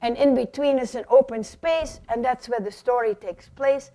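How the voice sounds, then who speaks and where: A woman's voice: fairly high pitch, normal speed, mellow. A woman presenting puppetry in an explanatory storytelling talk, theatrical and emphatic, with rhetorical questions.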